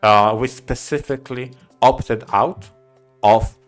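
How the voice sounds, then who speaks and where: A man co-hosting a podcast, giving opinions casually in a halting way, repeating short phrases for emphasis. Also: medium pitch, soft background music. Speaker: podcast co-host.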